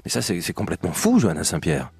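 Husky, effusive radio host, male. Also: sits low, huge pitch swings, quick pace, a little mellow, no room sound. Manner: effusive, admiring. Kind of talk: live radio interview presenting.

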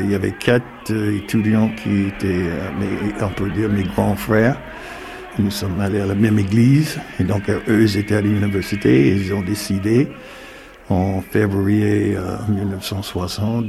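An older retired man from North Carolina with an American accent, speaking haltingly in an interview with many fillers and restarts. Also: lowish voice, vocal fry, warm tone, a little hiss or hum. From United States, North Carolina. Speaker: interviewee, retired community mediator. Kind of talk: reflective interview talk.

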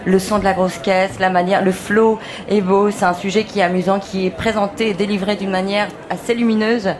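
A woman's voice: medium pitch, level tone, brisk. A woman giving casual, reflective commentary on music, sometimes searching for words. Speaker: guest music programmer. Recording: crowd sounds behind the voice.

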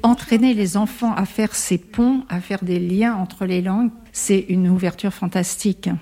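A woman explains her association's approach in an earnest, patient interview. Her voice is mellow and warm at an ordinary pitch. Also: fairly even intonation, normal speed. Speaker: association president. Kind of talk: interview answers.

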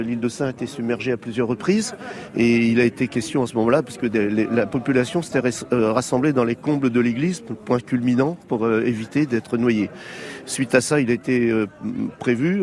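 A middle-aged man, an island mayor from France, explaining calmly with some hesitation in an outdoor interview on a quay. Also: mid-range voice.

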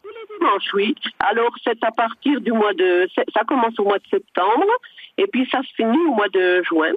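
A woman's voice: fairly high pitch, big pitch swings, normal speed, breathy edge, dry recording. Chatty older woman calling in by phone. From eastern France. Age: 60s. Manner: chatty, hesitant with many fillers. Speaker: caller. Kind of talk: phone-in chat. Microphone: telephone. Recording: tinny phone line.

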